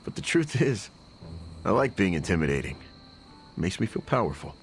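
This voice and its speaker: A man's voice: low voice, very animated, moderate pace, faint music behind. An actor playing a guarded male antihero bonded to a symbiote in a video game, talking in a casual, wry, self-deprecating way. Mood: candid, guarded. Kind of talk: conversational video game acting.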